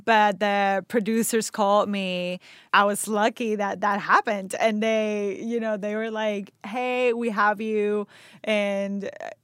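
A woman's voice: mid-range voice, takes it slowly, clear, resonant tone, no echo, clean studio sound. A woman from Venezuela with a Venezuelan Spanish accent, a native Spanish speaker, talking animatedly in a casual interview about her acting and hosting work. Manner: animated, chatty, frequent restarts and laughter. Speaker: actor and TV host guest.

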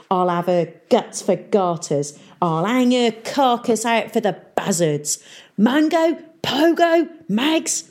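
A woman's voice: medium pitch, darkish tone, voice pushed up, lightly acted. Woman putting on a snarling pirate mayor. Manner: snarling, hissing, gruff. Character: coarse swaggering male pirate mayor.

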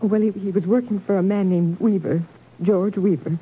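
A woman playing a distraught, earnest new widow, speaking haltingly in a 1950s radio drama. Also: medium pitch, steady tone, breathy edge. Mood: distraught, bewildered. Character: distraught, bewildered newly widowed young wife. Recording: tinny narrow old radio recording.

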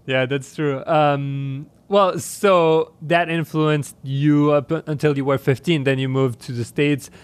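A man's voice: fairly high pitch, fairly even intonation, unhurried, hardly any pauses, smooth. A man hosting a casual interview, friendly and rambling, often restarting his words. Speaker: podcast host.

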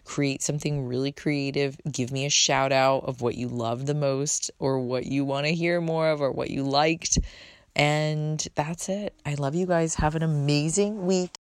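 A woman with a very low, soothing voice talks casually and chattily as a podcast host, swearing now and then. The sound is dry and close, with almost no room around it. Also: steady tone, unhurried, dry recording. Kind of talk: casual solo podcast chat.

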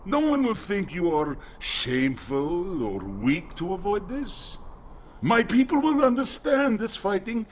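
An actor playing a proud, courteous Egyptian tour guide with formal, deferential phrasing in a radio drama. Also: male voice, sits high, big pitch swings, unhurried, a little hiss or hum. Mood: cautioning.

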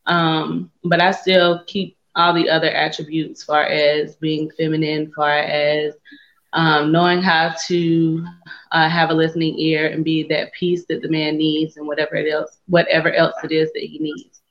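A self-assured woman talks about herself in a firm, steady way. Her delivery stays flat and even, and the audio sounds dull and lo-fi. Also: low-pitched, measured pace. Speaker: guest. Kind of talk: casual group discussion.

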